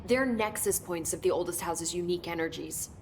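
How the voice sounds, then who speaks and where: A woman's voice: fairly low pitch, fairly quick, a little mellow, slight background noise, natural voice. A woman playing an eager, curious young American research scientist in a video game, chatty and explanatory. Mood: earnest, intrigued. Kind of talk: video game dialogue acting.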